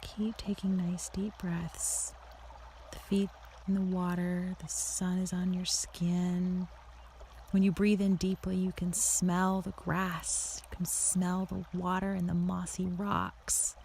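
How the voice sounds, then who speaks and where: Creaky, low-voiced woman guiding meditation. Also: little pitch movement, slow, darkish tone, a little hiss or hum. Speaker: guided meditation narrator. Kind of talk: guided meditation, second-person scripted visualization.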